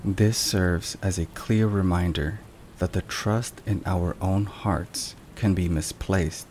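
A low-voiced man delivers scripted devotional narration in a hushed ASMR style. His delivery is very slow, measured and reflective. Rain and thunder are clearly heard behind him. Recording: steady rain and thunder noise. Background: rain and thunderstorm sounds.

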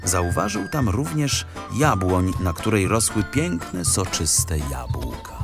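A man with a deep voice narrates a fairy tale. His delivery is slow and dramatic, with lively swings in pitch, like scripted storytelling for a cartoon. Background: music.

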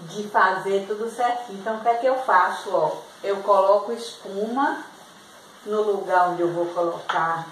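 A middle-aged Brazilian woman giving a casual, informal how-to demonstration, explaining step by step as she works in a home kitchen. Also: mid-range voice. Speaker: vlog host demonstrating DIY. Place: small tiled home kitchen. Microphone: camera mic at a distance. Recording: echoey, distant, faint room noise.

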